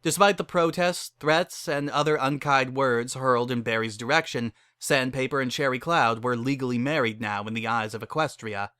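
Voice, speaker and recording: A man narrates a story as a dramatic reading. His voice is bright and mid-pitched, recorded very dry and close. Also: normal speed, few pauses, smooth, no room sound. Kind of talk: dramatic reading of fan fiction.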